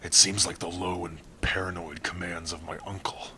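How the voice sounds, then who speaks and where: A man plays a low-voiced male orc, gruff yet tender, in an ASMR roleplay. He speaks softly and hesitantly in an even, unhurried line with a forward, bright edge, over some background hiss. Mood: watchful, concerned. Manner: soft-spoken, hesitant, with pauses and hums. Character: gruff but tender young male orc, shyly smitten. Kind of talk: ASMR roleplay addressed to the listener.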